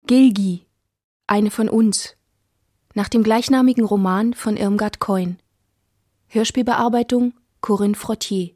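A woman playing a sober, clipped narrator in a scripted radio play, with a husky voice. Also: mid-range voice, fairly even intonation, takes it slowly, pauses often, darkish tone, dry recording, clean studio sound.

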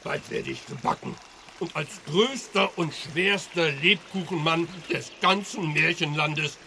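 An actor playing a meek, bony old literature scholar held captive in a children's radio play. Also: male voice, fairly high pitch, a little slow, sharp tone, slight background noise. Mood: plaintive.

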